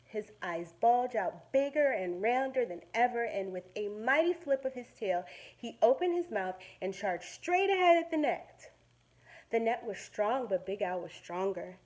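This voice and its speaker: A woman teacher reading a children's story aloud in an expressive, dramatic way, pausing to explain words simply to young listeners. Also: on the high side.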